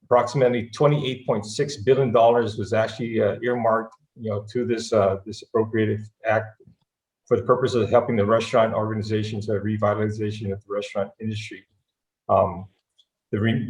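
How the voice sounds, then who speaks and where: A man who is a government branch office manager explaining a funding program, halting with frequent restarts. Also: fairly low pitch, reverberant, low-quality recording. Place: video call.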